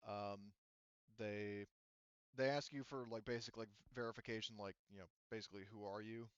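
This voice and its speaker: A man acting as game master, narrating a roleplaying game in a halting, conversational, improvised way. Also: lowish voice, fairly even intonation, fairly bright tone, smooth, no echo, dull audio.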